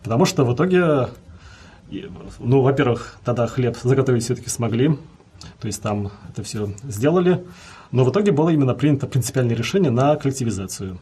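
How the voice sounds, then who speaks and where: A man's voice: medium pitch, expressive, fairly quick, dark tone, slightly rough. A man answering as a history guest, explaining at length in a lecturing way with many fillers.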